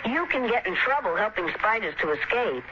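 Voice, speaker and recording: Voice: mid-range voice, big pitch swings, a little fast, close to an everyday voice. A performer playing a curious, brash frontier boy with blunt, folksy speech in 1950s radio drama style. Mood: curious, defiant. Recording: muffled, noisy old radio recording.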